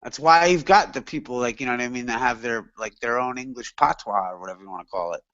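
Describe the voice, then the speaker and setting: A man's voice: medium pitch, fast talker, a bit bright, dry recording, muffled recording. A man chatting casually as a panelist in an online hangout, rambling and profane, with lots of fillers. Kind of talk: casual chat.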